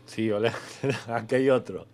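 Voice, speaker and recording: A man's voice: medium pitch, a little slow, a little mellow, lightly acted. A man with an Argentine accent acting in a comic radio sketch as an evasive, bureaucratic God, casual, hesitant and a little condescending. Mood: exasperated. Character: evasive, bureaucratic God at eternity's front desk.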